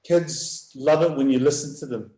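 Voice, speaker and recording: Booming man in an echoey room. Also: fairly even intonation. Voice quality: loud booming voice. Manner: halting, restarts, laughs often. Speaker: youth rugby coach. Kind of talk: live video call chat.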